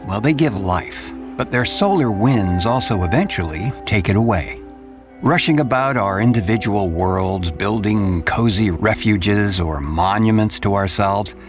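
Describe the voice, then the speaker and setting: Brooding detective narrator, slow and low-key. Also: male voice. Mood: somber, reflective. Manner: deliberate, philosophical, dramatic delivery. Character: brooding male telepath detective narrator, weary and idealistic. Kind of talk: cinematic radio drama noir narration. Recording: music behind the voice.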